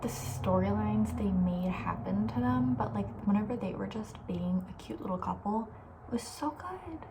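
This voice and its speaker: A soft-spoken woman host chatting casually in an ASMR style, often near a whisper, informal and thinking aloud. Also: mid-range voice, normal speed, vocal fry, noisy background.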